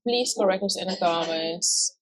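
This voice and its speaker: A woman's voice: on the low side, normal speed, no echo, low-quality recording. A woman in her twenties from Suriname with a Surinamese Dutch accent, chatting openly as a vlogger and filling her talk with "like". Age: 20s. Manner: chatty, open, often says "like". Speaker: vlogger co-host. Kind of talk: casual chat.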